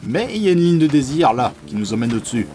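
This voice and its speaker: A man's voice: mid-range voice, very animated, fast, warm tone, faint music behind, natural voice. A man playing a laid-back, casual old friend in a comedic audio drama. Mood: practical. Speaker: fiction podcast character. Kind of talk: comedic audio fiction dialogue.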